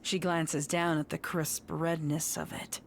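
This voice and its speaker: Female horror narrator, low register, unhurried and suspenseful dramatic read, music underneath. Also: little pitch movement, slight background noise. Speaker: story narrator.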